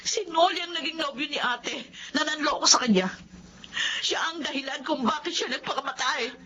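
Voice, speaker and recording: A woman's voice: high-pitched, normal speed, bright, forceful tone, slight background noise. A woman playing a bitter, vengeful young woman grieving her sister in a Filipino radio drama. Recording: dull lo-fi radio drama audio.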